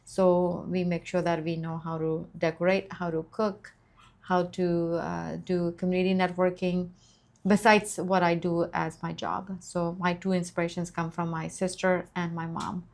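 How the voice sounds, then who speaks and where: A woman's voice: lowish voice, level tone, a little slow, vocal fry, a little mellow. A middle-aged woman from Nepal, a nurse practitioner telling her life story in an interview, earnest and grateful.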